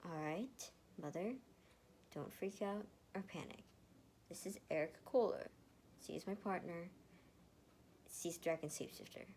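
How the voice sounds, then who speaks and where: A woman's voice: on the low side, measured pace, long pauses, darkish tone, slight background noise. A woman voicing an anxious, dutiful young man with a restrained, polite manner in a fan fiction reading. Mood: nervous. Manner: nervous, restrained.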